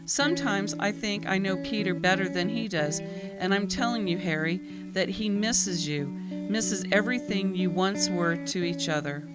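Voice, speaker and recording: A woman playing an earnest, loving young friend in an audio drama, pleading in measured, heartfelt phrases. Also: mid-range voice, close to an everyday voice, rough recording. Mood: tender. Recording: guitar music behind voice.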